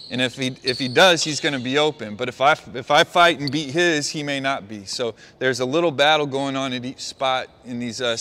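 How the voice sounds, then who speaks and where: A middle-aged man, a basketball coach, walking his players through a play on the court and calling out quick praise. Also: medium pitch, bright, forceful tone. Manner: talks players through a walk-through, calls out praise. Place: large indoor gym.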